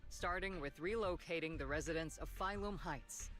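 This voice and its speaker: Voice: mid-range voice, moderate pace, a bit bright, soft background music, natural voice. An actor playing a composed, authoritative military colonel giving a briefing in a video game. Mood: firm.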